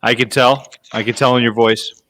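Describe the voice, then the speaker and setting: A man with a bright, forceful voice asks pointed questions as a debate panelist. He speaks at a middle pitch and gets straight to the point. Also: level tone, moderate pace, no room sound.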